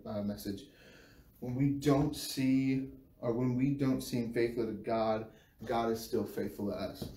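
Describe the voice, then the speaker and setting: An American man in his thirties giving an informal, halting devotional talk, often repeating phrases as he searches for the next one. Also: medium pitch, dark tone. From United States. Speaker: church speaker giving a devotional. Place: small bare-walled room indoors. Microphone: phone camera a few feet away. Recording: roomy, distant phone mic, faint hiss.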